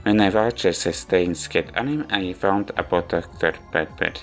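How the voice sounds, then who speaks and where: A man giving a rambling, halting spoken review of a visual novel in non-native English. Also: sits low. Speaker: video game reviewer. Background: background music.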